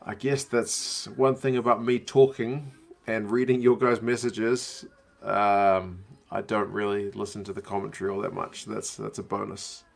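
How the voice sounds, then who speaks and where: A man's voice: on the low side, unhurried, creaky. A young man with a New Zealand accent, muddled by years abroad, chatting casually on a rugby watch-along stream and reading out viewer messages. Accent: New Zealand, mixed from years abroad. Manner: relaxed, chatty, slangy.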